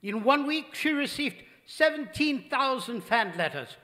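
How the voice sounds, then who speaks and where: High-voiced, solemn, devoted butler. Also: male voice, expressive, measured pace, sharp tone, heavily acted. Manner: formal, deferential. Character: devoted, solemn formal butler to a faded star. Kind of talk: old-time radio drama acting on stage. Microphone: vintage-style stand microphone. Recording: reverberant theater hall stage recording.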